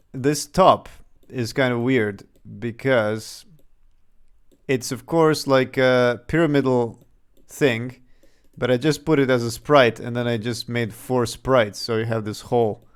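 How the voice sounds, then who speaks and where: A man's voice: medium pitch, slow pace, smooth. A young man from Serbia with a slight Serbian accent, casually thinking aloud and chuckling as he talks through his game development on a livestream.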